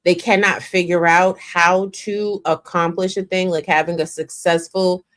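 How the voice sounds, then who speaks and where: A woman's voice: lowish voice, little pitch movement, unhurried, a bit bright. A woman making her points with emphasis, drawing out her phrases and lecturing in a casual discussion.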